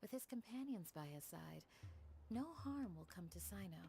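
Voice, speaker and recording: Caring game guardian woman: dignified, measured, lilting intonation over music. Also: lowish voice, animated, vocal fry, warm tone. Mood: solemn, reassuring. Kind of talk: video game character dialogue. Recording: music behind the voice.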